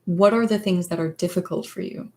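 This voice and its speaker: A woman whose first language is Danish, chatting casually on a livestream as a psychologist and author. She pauses often and searches for words. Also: lowish voice, darkish tone. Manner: hesitant, many fillers and restarts, searches for words. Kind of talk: casual livestream chat answering viewer questions.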